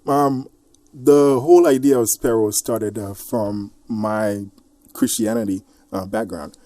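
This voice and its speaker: A man in his thirties from Haiti with a Haitian accent, a guest author talking casually in a radio interview, soft-spoken and quick to laugh. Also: on the low side, fairly even intonation, no echo.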